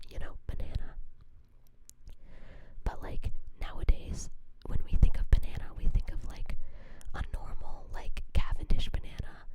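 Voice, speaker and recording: A young woman streamer chatting in a steady whisper, rambling and swearing freely as she mocks herself. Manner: whispering throughout. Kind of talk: whispered casual livestream chat.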